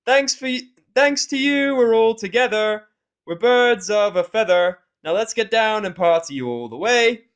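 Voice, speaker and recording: A man's voice: sits high, expressive, measured pace, a bit bright, clean tone, pitched above the performer's own voice. A man voicing a bubbly, sweet young female cartoon pony in a fan audio drama. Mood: joyful singing.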